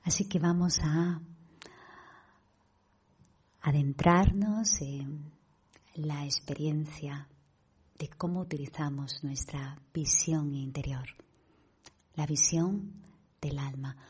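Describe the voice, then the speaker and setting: A meditation guide, a woman with a Castilian Spanish accent, speaks in a low, very husky and breathy voice. Her delivery is slow and deliberate, calm and full of pauses as she addresses her listeners. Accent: Spanish from Spain. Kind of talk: guided meditation talk to an online audience.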